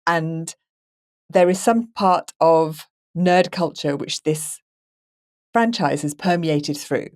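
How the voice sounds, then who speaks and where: A woman's voice: deep voice, slow pace, a little mellow. A woman hosting a podcast, asking questions in a conversational interviewing style. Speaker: podcast host.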